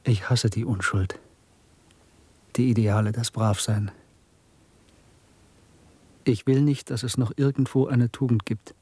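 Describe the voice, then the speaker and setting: Brooding male clerk and secret rebel, bitter, intense, deliberate, muted husky voice, radio drama. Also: mid-range voice, steady tone, dark tone, no echo. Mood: fervent, bitter. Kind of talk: German radio drama acting.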